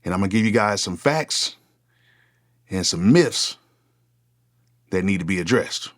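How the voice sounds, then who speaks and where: Forties male producer, deep low voice, relaxed unscripted vlog chat. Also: a little slow. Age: 40s. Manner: casual, conversational, repeats "go ahead" often. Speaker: music producer, channel host. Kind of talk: unscripted one-take talk to camera.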